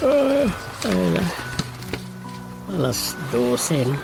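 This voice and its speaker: Slow, creaky-voiced muttering man. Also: sits high, sing-song, exaggerated voice, rough recording. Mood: idle muttering. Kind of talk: Ilocano radio drama comedy acting. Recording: music behind the voice.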